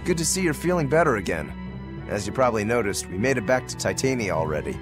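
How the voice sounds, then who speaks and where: Casting: male actor as kindly veteran game warrior, tactful, lively intonation, music behind. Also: medium pitch, quick pace, lightly acted. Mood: relieved. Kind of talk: English game voice acting.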